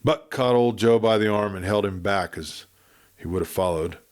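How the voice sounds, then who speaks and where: A man's voice: fairly low pitch, moderate pace. A man reading a western adventure story as a scripted, dramatic narration. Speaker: audiobook narrator.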